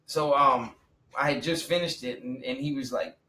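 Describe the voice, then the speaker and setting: A man in his thirties in a casual podcast chat, talking in animated, slangy bursts. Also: mid-range voice, moderate pace, echoey room. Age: 30s. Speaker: podcast co-host, rapper.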